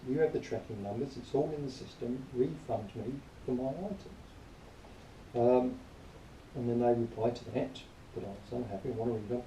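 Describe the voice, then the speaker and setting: A man giving an informal spoken review in a rambling, matter-of-fact, grumbling way, partly reading aloud, recorded quietly on a camera some distance away. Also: mid-range voice, normal speed. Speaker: product reviewer. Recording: distant quiet mic, roomy echo, faint noise.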